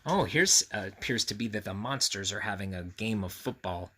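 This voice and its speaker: A man reading a storybook aloud to children, expressive and confiding, pausing to put questions to the listener. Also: fairly low pitch, a little hiss or hum. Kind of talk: animated read-aloud with friendly asides to children.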